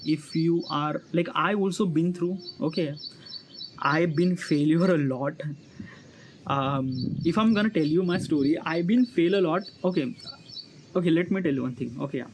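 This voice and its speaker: An Indian-accented man in his early thirties speaks off the cuff to his viewers in a fairly high voice. His delivery is halting and circles back on itself, padded with repeated filler phrases. Birdsong and faint ambient noise sit behind him. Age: early 30s. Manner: halting, repetitive, filler-heavy with 'kind of like'. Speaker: vlogger. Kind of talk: unscripted personal monologue to viewers. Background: chirping birds, ambient noise.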